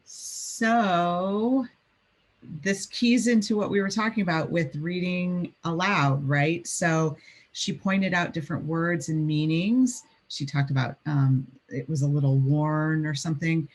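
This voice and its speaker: A woman's voice: low-pitched, takes it slowly. A woman education instructor giving informal lecture commentary in a conversational way.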